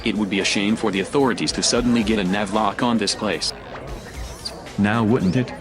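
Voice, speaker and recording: A controlled, intimidating science-fiction operative, played by a man. His voice sits on the low side and his delivery is terse and commanding, over clearly audible music. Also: fairly even intonation, brisk, close to an everyday voice. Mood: menacing. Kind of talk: science-fiction film dialogue.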